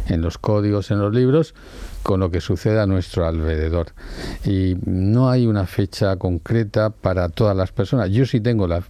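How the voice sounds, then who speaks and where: An older man from Spain, a native speaker of Valencian, calmly explaining his views in an interview with many "eh" fillers. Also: lowish voice, no echo. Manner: measured, explains with rhetorical questions and many "eh" fillers. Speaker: interviewee, law professor and judge. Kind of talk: reflective interview answers.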